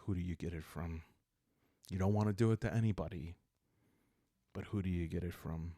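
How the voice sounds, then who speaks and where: A man narrating a solo roleplaying story in a calm, hushed ASMR style, halting with deliberate pauses, close to the microphone. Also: sits low, no echo. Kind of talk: calm ASMR narration of a dark journaling game.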